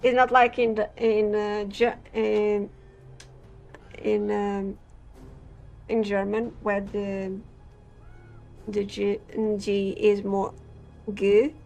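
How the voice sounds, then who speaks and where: A woman from Portugal, a gaming creator reading game text aloud and chatting in a halting way, full of fillers and restarts. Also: mid-range voice, little pitch movement, creaky, a little mellow, soft background music, poor audio.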